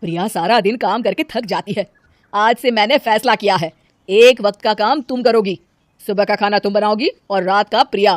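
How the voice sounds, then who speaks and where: A woman voicing a sarcastic, exasperated older mother-in-law in an animated story, scolding loudly. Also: medium pitch, moderate pace, no room sound, studio quality, close to an everyday voice.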